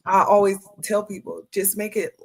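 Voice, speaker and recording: A woman's voice: medium pitch, steady tone, normal speed, creaky, slight background noise. A young adult woman, a guest in a casual interview, talking through her views on hiring with plenty of fillers and restarts. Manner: chatty, many fillers and restarts. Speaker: HR professional guest.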